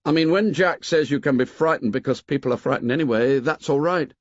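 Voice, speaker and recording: A man's voice: a little high, a little fast, no room sound, voice pushed up, close to an everyday voice. A man voicing an eager, chatty young boy who rambles earnestly as he explains himself. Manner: rambling, earnest, then laughs gaily. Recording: dull, dry recording.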